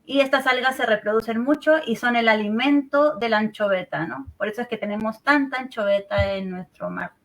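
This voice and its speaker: Peruvian woman explaining calmly. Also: a little high, brisk, hardly any pauses. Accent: Peruvian Spanish. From Peru. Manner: calm, explanatory, many "eh" and "¿no?" tags. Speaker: marine researcher guest. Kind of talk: explanatory interview answers. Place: at home.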